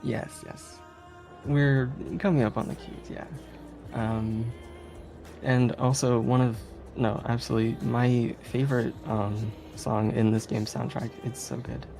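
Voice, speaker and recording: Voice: medium pitch, fairly even intonation, unhurried, vocal fry, mellow, low-quality recording. A speedrunner giving casual live commentary on a game, chatty and giggly, with some hesitation while explaining. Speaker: speedrunner presenting a game run. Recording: game music behind the voice. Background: game music.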